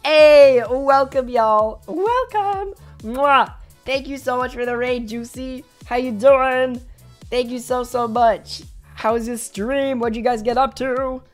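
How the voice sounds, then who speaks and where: Young woman, twenties, hoarse voice, bubbly and effusive, fairly high pitch, headset mic, small bedroom, faint music behind. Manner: bubbly, effusive, quick swings to excited squeals. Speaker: streamer. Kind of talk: casual live-stream chat thanking viewers. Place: small bedroom streaming room.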